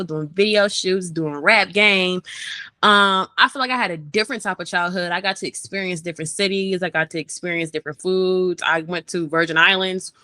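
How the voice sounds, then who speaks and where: Young female rapper, bright and lively, talks fast and loose, laughs easily; dry close mic. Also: on the low side, no room sound. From Maryland, USA. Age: young adult. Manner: animated, chatty, laughs often. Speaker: interview guest, rapper. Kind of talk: casual interview chat.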